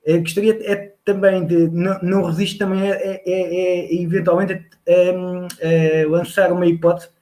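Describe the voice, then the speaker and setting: A man's voice: high-pitched, flat delivery, normal speed, few pauses, mellow. A man with a Lisbon Portuguese accent making a polite, formal comment as an audience member, hesitantly searching for words and repeating them.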